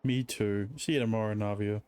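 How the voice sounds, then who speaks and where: A man's voice: lowish voice, steady tone, a little fast, a little mellow, clean tone. A man streaming a game, reading the story aloud and joking between lines. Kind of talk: reading game dialogue aloud with casual commentary. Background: game music.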